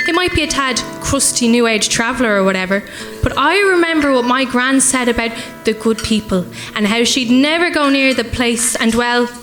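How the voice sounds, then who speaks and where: Woman playing a rambling, high-voiced Irish folk singer. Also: animated, normal speed, over music, close to an everyday voice. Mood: nervous, chatty. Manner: breathless, rambling asides. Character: rambling, earnest young folk singer-songwriter woman, superstitious. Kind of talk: live radio play, chatty introduction and folk ballad.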